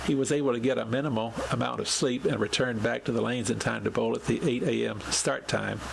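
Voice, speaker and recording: Very gravelly male podcast narrator, noisy recording. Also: medium pitch, steady tone, a little fast. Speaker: podcast host. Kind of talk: scripted narration, reading a written account.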